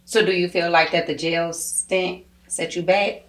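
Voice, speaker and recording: A woman's voice: lowish voice, flat delivery, unhurried. A woman interviewer chatting casually and emphatically, with blunt slang and swearing. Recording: roomy, echoing sound.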